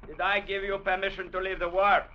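An actor playing a brutal, bullying captain in an old radio drama, menacing and mocking, barking orders. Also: male voice, high voice, little pitch movement, a little fast, close to an everyday voice. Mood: commanding. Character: brutal, bullying sea captain ruling a jungle trading post. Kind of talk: 1940s-50s radio drama acting. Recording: muffled old radio recording, faint noise.

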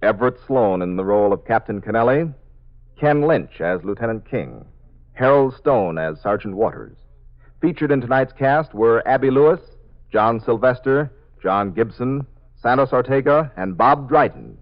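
A man's voice: medium pitch, animated, moderate pace. A man announcing in the formal, scripted style of 1950s network radio. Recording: dull, band-limited 1950s radio recording.

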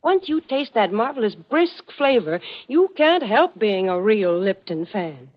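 A woman giving scripted sponsor pitches on 1940s radio in a cheery, enthusiastic manner, on a dull old recording. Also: big pitch swings, a little slow. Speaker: commercial spokeswoman.

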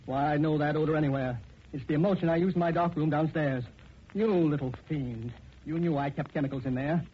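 A dull, slightly noisy vintage radio recording has an actor as an indignant middle-aged man of means. He blusters emphatically in a fairly high voice. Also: fairly quick, natural voice. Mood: alarmed, outraged. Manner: agitated, emphatic. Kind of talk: 1940s radio melodrama acting.

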